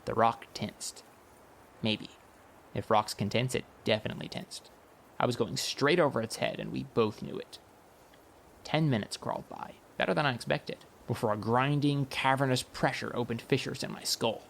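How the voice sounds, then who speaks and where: A man narrating a first-person comic fantasy audiobook in a wry, expressive storytelling manner. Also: mid-range voice, normal speed, creaky, slight background noise.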